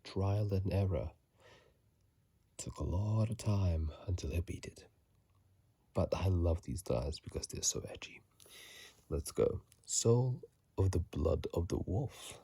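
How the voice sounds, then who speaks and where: A man whispering casual ASMR game commentary in a hushed, breathy voice, close to a phone microphone. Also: low-pitched, dry recording. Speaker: ASMR video host.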